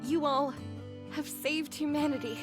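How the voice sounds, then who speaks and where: An actress voicing a gentle, earnest squad leader in a game cutscene, measured and sincere. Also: female voice, high voice, fairly even intonation, music in the background. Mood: solemn, grateful. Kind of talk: scripted game cutscene acting.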